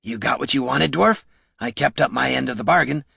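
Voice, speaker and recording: A man's voice: mid-range voice, a little fast, creaky, pushed and bright, slightly rough, no echo, clean studio sound, pitched above the performer's own voice, heavily acted. A man voicing a small, cynical male kobold in an audiobook, brusque and smug. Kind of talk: audiobook character dialogue.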